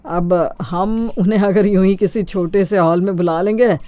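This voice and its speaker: A man's voice: high-pitched, fairly even intonation, normal speed, warm tone, smooth, no room sound, natural voice. A man voicing a calculating middle-aged father of a groom, mock-humble and persuasive, in a Hindi cartoon story.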